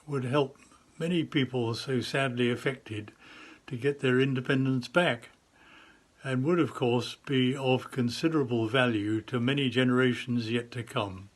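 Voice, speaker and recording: A man in his eighties giving a measured, formally worded personal account as a charity supporter. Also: mid-range voice, fairly even intonation. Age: 80s.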